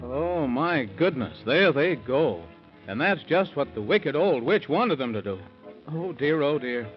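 Animated high-pitched man narrating old radio. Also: measured pace. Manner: theatrical, exclamatory, addresses child listeners. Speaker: story narrator. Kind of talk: 1930s children's radio narration. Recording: muffled 1930s radio recording. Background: music.

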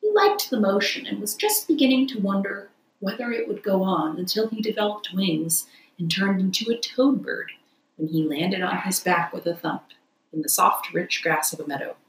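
A woman's voice: medium pitch, moderate pace, reverberant, a little hiss or hum, rough recording. A woman narrating a classic children's story expressively, as an audiobook reader.

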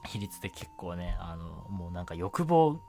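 Young male radio host, casual and rambling, self-effacing, animated intonation over light background music. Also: deep voice, moderate pace, gravelly. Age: young adult. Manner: giggly, self-deprecating, rambling. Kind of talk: casual solo radio chat.

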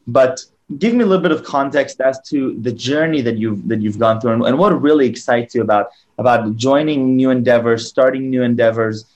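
A man's voice: mid-range voice, fairly quick, muffled recording. A man hosting a conversational interview, effusive and often doubling back on his phrases. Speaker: interview show host.

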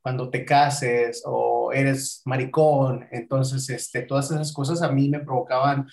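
Mexican male, forties, candid podcast guest telling his own story, mid-pitched voice, echoey room. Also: little pitch movement, a little slow, creaky, darkish tone. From Acapulco, Mexico. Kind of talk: personal storytelling in an interview.

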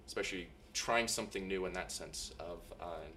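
A man's voice: deep voice, brisk, pushed and bright, a little hiss or hum. An American man interviewing a band, asking long, rambling questions. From United States. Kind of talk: podcast interview.

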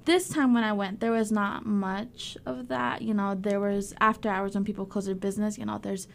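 Woman, 20s, from El Salvador, chatty interview guest, mid-pitched voice. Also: steady tone, normal speed, creaky, a little hiss or hum. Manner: chatty storyteller with many fillers. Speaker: guest, community organization worker. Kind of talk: conversational interview.

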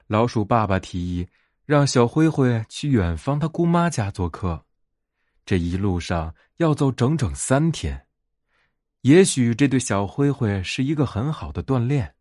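A man narrating a bedtime fairy tale as a storyteller, in an expressive scripted read. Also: lowish voice, no room sound, studio quality.

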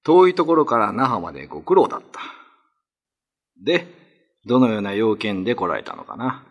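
A man acting a renowned, dignified Ryukyuan lord and demon slayer in a radio drama, speaking gravely in old-fashioned, commanding language. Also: on the low side, huge pitch swings, brisk, lo-fi sound, heavily acted. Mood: dignified, composed.

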